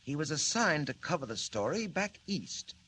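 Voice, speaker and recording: A man's voice: a little high, very animated, moderate pace, pushed and bright, a little hiss or hum. An actor playing a scheming, mocking male villain mastermind in 1940s radio acting, with smug, stiff, formal phrasing.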